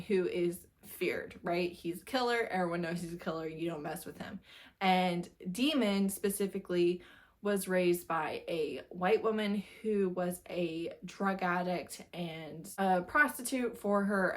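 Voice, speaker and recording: A woman giving a casual, animated book review, telling plots informally with a giggly manner. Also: lowish voice, unhurried. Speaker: book review video host. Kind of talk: casual chatty book wrap-up talk to camera.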